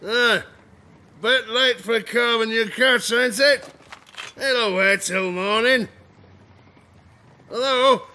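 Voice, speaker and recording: An actor playing a rambling drunken sailor in an audio drama, with slurred, drawling speech. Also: male voice, very high-pitched, slow pace, long pauses, bright, forceful tone, full character voice. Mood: uneasy. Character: rambling drunken male sailor, tavern storyteller. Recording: clear background noise.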